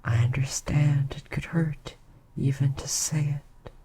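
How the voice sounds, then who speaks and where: A woman voicing an ancient queen succubus, soft and tender, often slipping into a breathy whisper in an ASMR roleplay. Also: low voice, steady tone, slow pace, noisy background.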